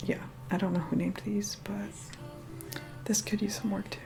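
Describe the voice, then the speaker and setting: A woman's voice: medium pitch, little pitch movement, moderate pace, vocal fry, music in the background. A woman playing a snobbish, reluctant young vampire in an ASMR roleplay, whispering in a sarcastic, bossy, condescending way. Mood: snide, grudging.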